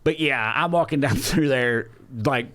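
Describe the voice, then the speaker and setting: A man's voice: mid-range voice, a little slow, fairly bright tone. A man with a Southern US accent chatting casually and profanely as a podcast co-host, telling stories in a rambling, conversational way. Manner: rambling, conversational, swears freely. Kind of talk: casual profane chat and storytelling.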